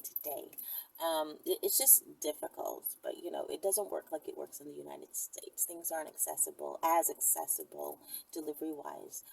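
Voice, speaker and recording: A woman's voice: low voice, creaky, a little mellow, a little hiss or hum, rough recording. A woman with a Bahamian accent chatting casually and bluntly to her viewers as host of her own channel, in informal language. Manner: blunt, informal, sprinkled with swearing. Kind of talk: casual, candid advice chat to viewers.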